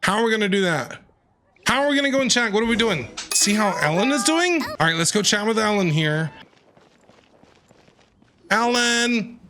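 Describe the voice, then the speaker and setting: A man's voice: sits high, very animated, normal speed, pauses often, background noise. A man casually commenting while playing a video game, chatty and reacting aloud. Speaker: game streamer. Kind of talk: casual let's-play commentary.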